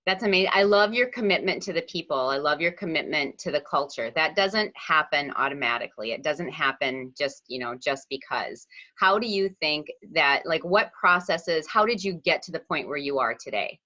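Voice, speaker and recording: A woman's voice: low-pitched, expressive, moderate pace, hardly any pauses, sharp tone, highs cut off. A woman hosting a podcast interview, enthusiastic and effusive with her guest. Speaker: podcast host.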